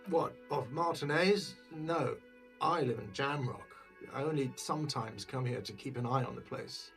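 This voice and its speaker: A man's voice: mid-range voice, normal speed, fairly bright tone, soft background music, natural voice. An actor playing an irritable, defensive cafeteria manager in a video game, exasperated and sarcastic, leaning hard on his words.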